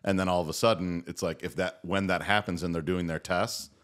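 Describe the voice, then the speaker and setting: A middle-aged man co-hosting a podcast, telling rambling stories in a relaxed, chatty way. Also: brisk. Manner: rambling storyteller, mimics senators in high mocking voice. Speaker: podcast co-host. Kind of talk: casual chat.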